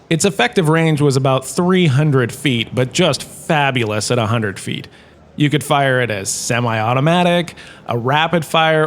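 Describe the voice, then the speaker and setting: A man performs a washed-up screen star who now lectures in a comic space audio drama. He is cheerful and oblivious, with a fairly high male voice. He rambles chattily and with theatrical enthusiasm.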